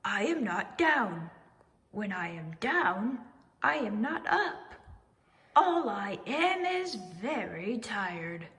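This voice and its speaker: An earnest male owl from a children's storybook, acted out by a woman. He is friendly and simple-hearted, speaking deliberately with lively, swinging pitch and a gravelly creak. The sound rings with long reverb. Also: exaggerated voice. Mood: weary.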